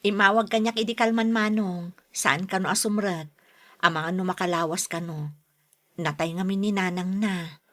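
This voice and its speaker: A woman's voice: lowish voice, fairly even intonation, moderate pace, a bit bright, lightly acted. A woman with an Ilocano accent acting a frank, chiding but caring younger sister in a radio drama.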